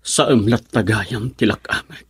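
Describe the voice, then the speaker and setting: Male romantic lead, Ilocano radio drama: very husky, earnest, aching, self-pitying suitor; dry studio closeness. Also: on the low side, fairly even intonation, normal speed, dry recording. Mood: heartbroken. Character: lovelorn man with stomach pains, earnest and self-pitying.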